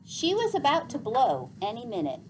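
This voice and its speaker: A woman storyteller reading a children's book aloud with expressive narration. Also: fairly high pitch, moderate pace, slight background noise, low-quality recording.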